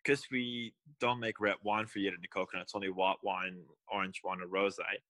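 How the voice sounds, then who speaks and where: A man's voice: low voice, little pitch movement, fairly quick, sharp tone, no room sound. Rambling young man, dry sound. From Belgium. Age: young adult. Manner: rambling, restarts and self-corrections. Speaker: guest winemaker. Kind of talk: casual interview.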